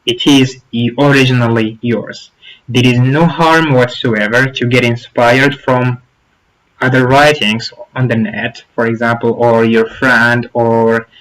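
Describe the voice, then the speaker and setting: A young man whose first language is Arabic, hosting his own channel and giving application tips in an informal spoken tutorial. He sounds earnest and advisory, stresses points by repeating them, and speaks deliberately with restarts and fillers. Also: mid-range voice, fairly bright tone, rough recording. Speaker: YouTube channel host giving application advice.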